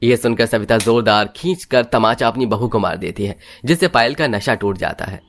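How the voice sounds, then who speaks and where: A man's voice: mid-range voice, moderate pace, fairly bright tone, slight background noise. A man narrating a scripted moral story in a dramatic storytelling style.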